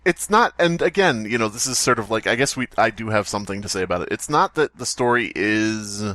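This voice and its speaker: A man's voice: fairly low pitch, expressive, fairly quick, pushed and bright, dry recording, studio quality. A man hosting a comedy podcast, chatting casually and riffing on a story with mock-dramatic jokes. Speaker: podcast host.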